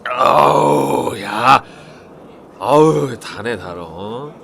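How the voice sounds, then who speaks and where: A man playing a chatty friend who is eager to tell stories at a get-together in an audio drama, with a creaky voice. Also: mid-range voice, expressive, extremely slow, a bit bright, full character voice. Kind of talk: acted casual banter in an audio story.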